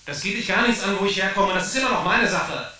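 A man's voice: high-pitched, expressive, fast, pushed and bright. A man playing a belligerent, drunken father, aggressive and defiant, in a German radio drama. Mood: furious, defiant. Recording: very echoey, distant from mic.

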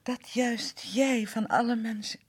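A woman's voice: fairly high pitch, slow, husky, heavily acted. An actress playing a lonely, elegant lady of an English country house in an old radio play, poised but easily flustered. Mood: guarded. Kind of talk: radio drama acting.

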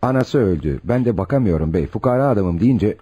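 A man's voice: on the low side, expressive, fairly quick, warm tone, husky. An actor playing an easygoing, plain-spoken uncle and adoptive father in a muffled Turkish radio play. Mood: defensive, plain. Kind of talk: Turkish radio theatre acting. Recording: dull, muffled old radio recording.

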